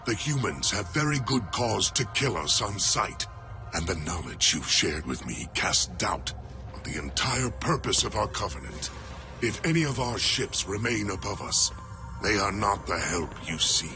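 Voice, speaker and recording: Alien warrior commander, very deep and grave, expressive range, loud score under. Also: male voice, music in the background. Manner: solemn, commanding, measured. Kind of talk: video game cutscene acting. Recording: game audio with loud score.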